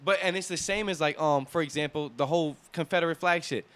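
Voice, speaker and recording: A young man in casual podcast talk, earnestly arguing his points and trailing off quietly. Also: a little high, quick pace.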